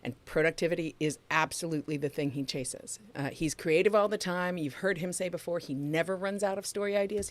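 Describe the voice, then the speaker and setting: Woman, middle-aged, low-ish voice, casual podcast chat, emphatic, chatty. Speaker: podcast co-host.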